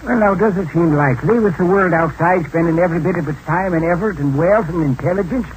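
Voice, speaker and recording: An actor in 1940s radio acting style playing a mysterious, wise old hermit who talks in a folksy, drawling, philosophical way. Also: male voice, high voice, quick pace, lightly acted, rough recording. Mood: musing. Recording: old radio broadcast with faint hiss.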